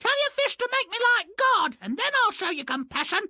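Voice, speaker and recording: A man's voice: very high-pitched, sing-song, brisk, pushed and bright, dry recording, studio quality, exaggerated voice. A man playing a greedy, imperious fisherman's wife, demanding and pompous, in a cartoonish fairy-tale game.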